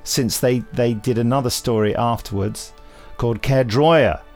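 A man's voice: mid-range voice, measured pace, darkish tone, soft background music. A man hosting a podcast, chatting casually in a rambling, wry way with many digressions. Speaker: podcast host. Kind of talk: casual podcast chat with readings.

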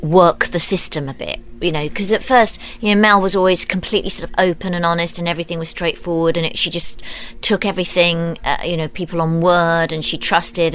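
A British woman with a lowish voice talks as an actress in an interview. She is thoughtful and discursive, working through her ideas as she speaks. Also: fairly bright tone. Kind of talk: behind-the-scenes interview.

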